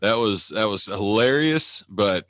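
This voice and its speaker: Low-voiced man, 30s, podcast co-host; loose, profane, self-mocking, dry mic. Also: animated, measured pace, fairly bright tone, dry recording. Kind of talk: casual chat.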